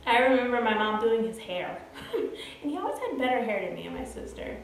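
A woman's voice: a little high, very animated, a little fast. Chatty woman in a very echoey room. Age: 30s. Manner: chatty, rambling. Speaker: vlogger. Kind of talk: casual personal storytelling to camera. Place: bare-walled room indoors. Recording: very echoey room, music behind. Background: background music.

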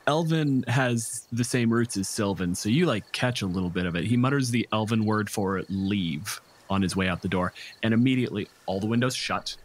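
Low-voiced male game master over cricket noise. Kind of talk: narrating a tabletop role-playing game. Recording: clear background noise. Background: night forest ambience with crickets and insects.

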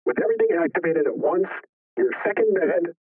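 Male presenter demoing a voice changer: tinny radio filter, rough unclear tone, highish and very animated. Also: brisk. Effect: radio-style vocoder voice changer filter. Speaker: tutorial presenter. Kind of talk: demonstrating a voice effect.

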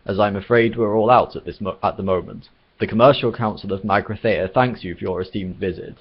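A man narrating puts on an old storybook announcer, courteous and formal with quiet menace under every phrase. The voice is low and somewhat husky, on a slightly dull recording. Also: steady tone, brisk. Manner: formal, polite, coldly menacing.

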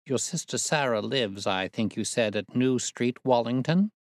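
A man voicing a brisk, observant gentleman detective in a storybook reading, self-assured, precise, formal and courteous. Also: mid-range voice, no room sound, clean studio sound, pitched above the performer's own voice, natural voice. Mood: courteous, probing.

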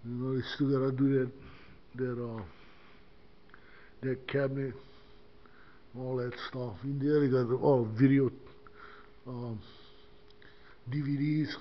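A man's voice: mid-range voice, level tone, slow pace, pauses often. An older man from Hawaii, rambling with many fillers as he shows the church he cleans. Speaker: church custodian vlogger. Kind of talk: casual walkthrough commentary. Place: inside a small church building. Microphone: body-worn action camera. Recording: dull lo-fi camera audio with faint noise.

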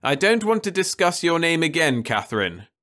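A man's voice: on the high side, very animated, normal speed, pushed and bright, voice pushed up. A man voicing a stern, earnest middle-aged mother who speaks firmly and insistently. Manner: firm, insistent.

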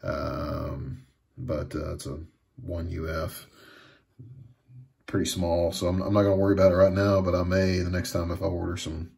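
Casting: man with a low, creaky voice for an informal electronics repair walkthrough, hesitant and repetitive in phrasing. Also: level tone, darkish tone. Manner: halting, repeats words and trails off. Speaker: hobbyist demonstrating electronics repair. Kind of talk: casual hands-on how-to narration. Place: indoors at a workbench.

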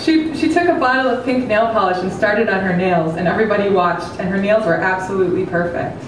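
Female literary reader, dramatic narration, heard from afar with clear background noise and heavy echo. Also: mid-range voice, fairly quick. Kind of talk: dramatic reading of a comic novel. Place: stage with curtains before an audience. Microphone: microphone on a table stand. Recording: very echoey hall, distant, clearly noisy.

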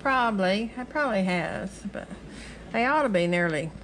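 A woman's voice: mid-range voice, lively intonation, normal speed, fairly bright tone, low-quality recording. An older woman chatting in a matter-of-fact way while making tamales at home. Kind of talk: casual family chat. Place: home kitchen, indoors. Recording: kitchen with background noise.

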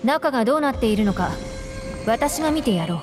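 A woman playing a deadpan young deputy. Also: fairly high pitch, a little fast, close to an everyday voice. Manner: deadpan, composed, formal. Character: calm, dry young woman gang deputy. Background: game music.

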